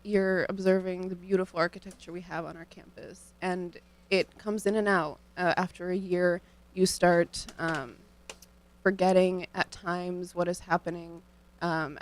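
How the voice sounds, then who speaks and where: A young woman from Ohio, a student guest on a radio show, answering questions in an earnest, measured, thoughtful way into a studio microphone. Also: fairly low pitch, a little mellow. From Cleveland, Ohio, United States. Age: young adult.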